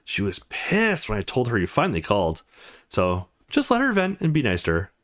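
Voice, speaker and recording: A man's voice: lowish voice, huge pitch swings, a little fast, a bit bright. A man reading visual novel dialogue aloud as a let's play host, voicing the characters' lines as he goes. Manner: voices the characters' lines as he reads.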